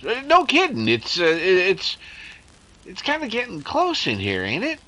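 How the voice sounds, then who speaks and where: A man's voice: high voice, wildly swooping pitch, a little slow, bright, forceful tone, full character voice. A man playing a slangy, jumpy detective's sidekick who blusters and hesitates in a 1930s radio drama. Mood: uneasy. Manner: colloquial, hesitant, stammering bluster. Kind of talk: 1930s radio comic-relief acting.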